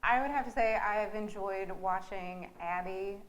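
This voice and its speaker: A woman's voice: medium pitch, a little slow. A woman talking casually as a theatre team member being interviewed. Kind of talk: casual chat. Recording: faint background noise.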